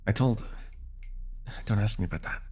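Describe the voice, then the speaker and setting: A man playing a jaded but protective fallen-hero villain in a superhero ASMR roleplay, speaking intimately in a gravelly, measured, soothing voice with a wry edge. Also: fairly low pitch, a little mellow. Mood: guarded, bitter. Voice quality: gravelly, husky.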